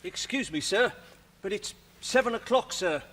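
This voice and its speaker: An actor playing a timid, polite clerk in a musical radio drama, hesitant and apologetic, in a reverberant space. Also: male voice, sits high, lively intonation, normal speed, a bit bright. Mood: timid, deferential. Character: meek, polite, timid male clerk, humbly deferential.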